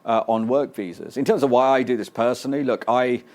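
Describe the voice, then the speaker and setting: A man's voice: medium pitch, brisk, a little mellow. A British man, a politician answering interview questions earnestly and insistently, often repeating words as he presses his point. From Britain. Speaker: political guest.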